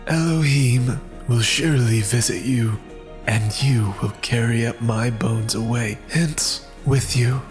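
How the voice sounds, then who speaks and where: A solemn ancient patriarch , voiced by a man, in a scripture audio drama. He speaks very slowly and gravely, as if laying an oath on his kin. Music is clearly heard behind the voice. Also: medium pitch, natural voice. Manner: slow, grave.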